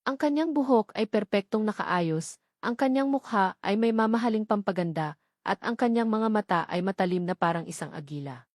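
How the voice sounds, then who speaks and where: A woman's voice: medium pitch, lively intonation, moderate pace, darkish tone, dry recording, studio quality. A woman reading a scripted romance story as a dramatic audiobook narrator.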